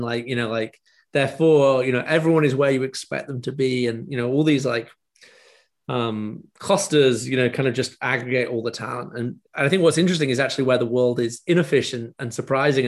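A man being interviewed, explaining his views fluently with frequent fillers. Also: mid-range voice. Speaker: guest, startup investor. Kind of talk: casual interview.

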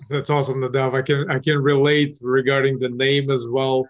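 A man's voice: mid-range voice, level tone, fairly quick. A man hosting a podcast interview, talking casually and sounding upbeat and encouraging. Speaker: podcast host. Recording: dull lo-fi audio.

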